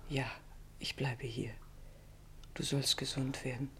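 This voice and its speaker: Gentle woman, soft, restrained, soothing, radio play, faint noise behind. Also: very deep voice, flat delivery, slow, heavily acted. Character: gentle, soothing woman comforting a sick man. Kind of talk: German radio play acting.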